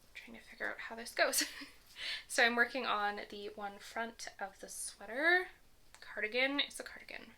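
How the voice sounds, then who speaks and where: A woman's voice: on the high side, moderate pace, gravelly, sharp tone, poor audio. A young woman hosting a knitting podcast in a casual, rambling chat with small laughs, her voice a little strained after a concert. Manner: chatty, rambling, with little laughs and self-corrections.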